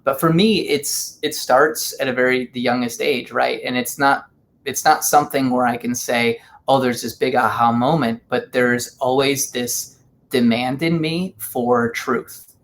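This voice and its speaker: A man's voice: mid-range voice, fairly even intonation, a little slow, a bit bright, a little hiss or hum. A man speaking reflectively in a casual interview, with some hesitations. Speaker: co-guest, spiritual teacher.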